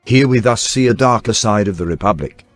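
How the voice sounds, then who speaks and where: Man, slightly deep voice, formal newsreel narration, measured announcer delivery, dry close sound, soft music bed. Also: no room sound. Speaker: documentary narrator. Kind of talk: scripted newsreel documentary narration. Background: faint background music.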